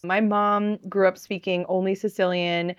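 A woman's voice: medium pitch, little pitch movement, normal speed, clear, resonant tone, no echo, studio quality. A woman in her thirties from northern New Jersey, a podcast guest who talks in a chatty, self-deprecating way and laughs often. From northern New Jersey, USA. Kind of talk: casual podcast interview.

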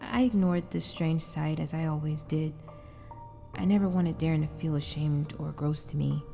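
A woman's voice: deep voice, moderate pace, vocal fry, mellow, faint music behind. A woman playing an anguished wife trapped in frozen time, in an emotional audio drama with narration and dialogue. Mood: somber reflective.

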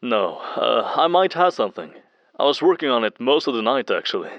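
A man playing an eager techie fan, friendly, chatty, hesitant, mid pitch, dull phone-call sound, audio drama. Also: sing-song, fairly quick, a bit bright, dry recording. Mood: excited, rambling. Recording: muffled band-limited phone call, dry.

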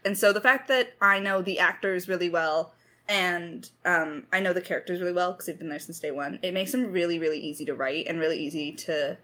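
A woman's voice: fairly low pitch, sharp tone. A woman chatting casually in a creator Q&A, effusive and playfully dramatic, rambling along in slangy speech. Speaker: lead writer and voice actor of an audio drama.

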